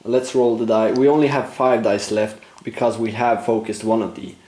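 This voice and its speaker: A man narrating a board game play-through, explaining rules and thinking aloud with frequent fillers, his voice going slightly hoarse. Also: on the low side, flat delivery, normal speed, mellow, roomy sound. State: losing his voice, clearing throat. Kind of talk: casual gameplay commentary.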